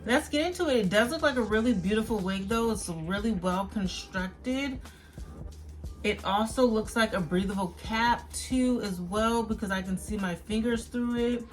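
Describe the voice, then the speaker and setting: A woman's voice: mid-range voice, moderate pace, soft background music. A woman hosting a beauty vlog, talking casually and directly to viewers in an informal, chatty review style. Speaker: beauty vlogger and host.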